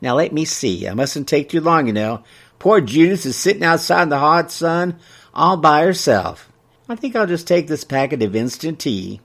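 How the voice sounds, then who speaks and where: A man's voice: a little high, animated, normal speed, gravelly, fairly bright tone, voice pushed up, full character voice. A man voicing a chatty, thrifty elderly small-town woman in a story, with a folksy, fussy manner. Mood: fussing.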